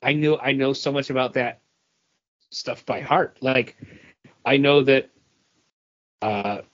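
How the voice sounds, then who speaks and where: A man's voice: medium pitch, takes it slowly, a bit bright. A man with a mid-range voice talks as a podcast co-host, rambling along with a fan's enthusiasm. The style is casual and conversational, and the recording is slightly muffled and lo-fi. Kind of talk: casual comic book review chat. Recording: dull, lo-fi podcast audio.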